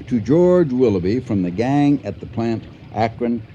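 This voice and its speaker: An actor playing an older, dry-witted husband in a radio drama, speaking in a measured, deliberate way. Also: male voice, mid-range voice, expressive, warm tone, lightly acted. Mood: dry, pondering. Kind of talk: 1980 radio drama acting. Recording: muffled radio recording, faint noise.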